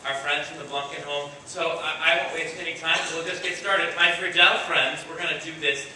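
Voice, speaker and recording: A man with a high-pitched voice hosts a children's school play. He speaks informally and encouragingly, like a director guiding young performers step by step. The recording is very echoey and distant, with clear background noise. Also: fairly even intonation, brisk, pushed and bright. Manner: encouraging, playful, gives simple step-by-step instructions to children. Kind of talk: informal live announcing, coaching young children on stage. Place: large echoey room before an audience.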